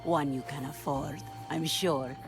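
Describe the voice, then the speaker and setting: A woman's voice: low-pitched, fairly even intonation, unhurried, music in the background. A woman playing a commanding, shrewd pirate leader in a video game, dry and authoritative. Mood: shrewd, businesslike. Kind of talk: dramatic video game cutscene acting.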